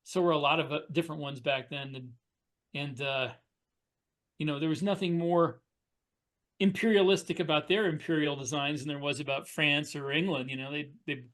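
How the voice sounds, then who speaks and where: Higher-pitched man, earnest podcast talk. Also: fairly even intonation, moderate pace, fairly bright tone. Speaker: podcast co-host. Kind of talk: casual panel discussion.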